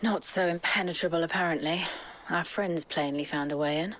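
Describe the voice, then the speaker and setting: An actress playing a calm, wry female warrior companion in a video game. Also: on the low side, a little fast, lightly acted. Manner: wry, understated.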